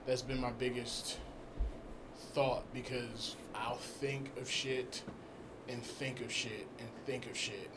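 A man co-hosting a casual podcast, talking in a reflective, hesitant way, with clear noise behind him. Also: medium pitch, little pitch movement, slow. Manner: reflective, hesitant, laughs easily. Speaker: podcast co-host. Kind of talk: casual chat. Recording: noisy background.

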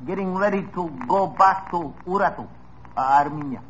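An actor playing a foreign pickpocket in an old radio drama, matter-of-fact with halting phrasing. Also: male voice, high voice, a bit bright, a little hiss or hum. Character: cheerful foreign male pickpocket. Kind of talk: 1950s radio drama acting. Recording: muffled old radio broadcast.

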